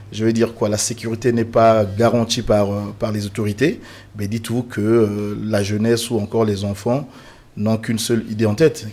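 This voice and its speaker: A man's voice: fairly low pitch, darkish tone. A man from the Republic of the Congo, a guest on a televised panel, speaking earnestly and emphatically with frequent fillers and restarts.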